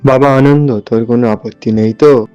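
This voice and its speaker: A man's voice: medium pitch, steady tone, moderate pace, dark tone, clean tone, faint music behind, close to an everyday voice. A man voicing a mild, decisive village merchant father in a cartoon. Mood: calm. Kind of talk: cartoon voice acting.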